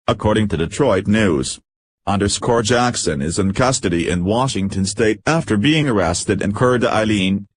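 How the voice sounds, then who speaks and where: A man with a low, slightly husky voice narrates a scripted news report. His delivery is stiff and mechanical, reading the text exactly as written. The sound is dry and close, with no room around it. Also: no echo.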